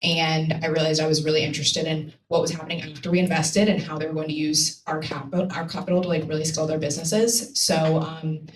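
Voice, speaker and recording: A woman's voice: low-pitched, level tone, quick pace, few pauses. A woman explaining things conversationally as a guest on a panel, with casual asides. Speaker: panel guest, climate company employee. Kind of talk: casual spoken panel talk. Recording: echoey room, distant mic, faint noise.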